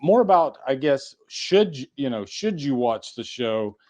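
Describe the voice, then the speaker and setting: A man's voice: medium pitch, very animated, measured pace, darkish tone. Rambling casual male podcast host. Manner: rambling, conversational, swears casually.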